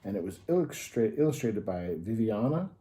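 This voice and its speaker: A man's voice: on the low side, very animated, moderate pace, dark tone, slight background noise. A man hosting a children's story time, patiently explaining things in simple terms in an informal, educational read-aloud style.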